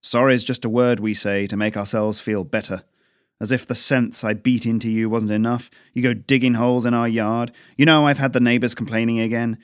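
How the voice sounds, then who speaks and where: A man voicing a stern, gruff middle-aged father who growls and barks orders. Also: medium pitch, moderate pace, no room sound, clean studio sound, natural voice.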